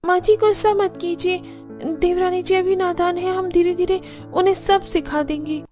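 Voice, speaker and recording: A woman's voice: very high-pitched, fairly even intonation, normal speed, music in the background, pitched above the performer's own voice. A woman voicing a plaintive, dutiful young Indian daughter-in-law in a cartoon family story. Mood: pleading. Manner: complaining, pleading. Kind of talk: Hindi cartoon story acting.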